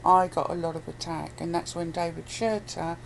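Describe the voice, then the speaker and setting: British woman, lowish voice, blunt casual interviewee, noisy amateur recording. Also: fairly even intonation, normal speed, gravelly. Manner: blunt, sweary. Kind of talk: casual conversation.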